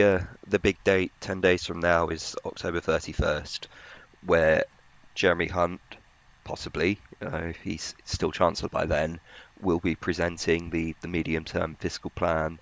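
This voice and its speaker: A man with a very low voice explains markets as a journalist would. His intonation stays quite flat and his phrasing is halting. The audio is slightly dull and muffled. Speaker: financial journalist. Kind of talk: conversational market analysis.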